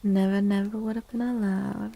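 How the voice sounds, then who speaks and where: A woman livestreamer doing soft-spoken ASMR, gently chatting to viewers in a hushed voice that often slips into whispers, close to the microphone. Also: mid-range voice, level tone, moderate pace, gravelly. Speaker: livestreamer hosting an ASMR stream.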